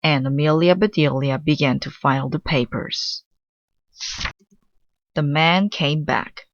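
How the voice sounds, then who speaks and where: A woman narrating a children's storybook aloud in a measured, deliberate way. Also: sits low, no echo, lo-fi sound. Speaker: storybook reader.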